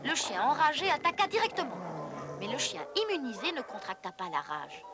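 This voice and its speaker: A woman narrates an educational cartoon from a script. Her voice is high, and she explains things like a storyteller. Music is clearly present underneath. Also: wildly swooping pitch, a little fast.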